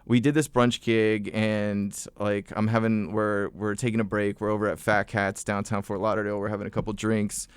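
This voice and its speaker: A young man talks loosely as a podcast guest, circling back through fillers and restarts. His voice sits fairly low and sounds smooth and clean. The recording is close and dry. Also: moderate pace, few pauses, no echo. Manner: relaxed storyteller, many fillers and restarts. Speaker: podcast guest, musician and vocal coach. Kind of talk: casual podcast interview.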